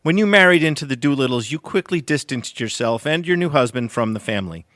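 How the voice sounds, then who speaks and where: A man's voice: medium pitch, lively intonation, quick pace, a bit bright, no echo, studio quality, lightly acted. A man playing a self-assured FBI agent in an audio comedy, formal and precise. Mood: matter-of-fact. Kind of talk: audio theatre comedy acting.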